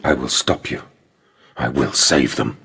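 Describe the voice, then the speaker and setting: A man's voice: a bass voice, a little slow, creaky, a bit bright, husky, heavily acted. An actor playing an anguished, guilt-ridden father in a video game, speaking with dramatic, despairing vehemence. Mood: grim defiance. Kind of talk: theatrical video game acting.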